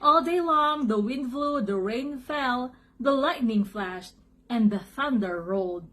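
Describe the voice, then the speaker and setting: Expressive woman reading to children, husky high voice. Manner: expressive, dramatic, pausing to comment to young listeners. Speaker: storyteller reading a picture book aloud to children. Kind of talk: animated children's read-aloud.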